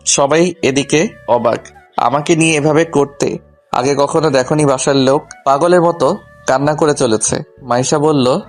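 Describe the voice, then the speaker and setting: A man's voice: on the high side, a little slow. A man narrating a scripted first-person story in a colloquial, humorous manner. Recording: music behind the voice.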